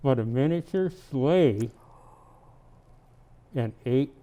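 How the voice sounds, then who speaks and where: A man playing a jolly, kindly old Santa Claus, reading from a storybook slowly and deliberately with chatty asides. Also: mid-range voice, lively intonation, dark tone. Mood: slow, wondering. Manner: slow, deliberate, kindly, grandfatherly.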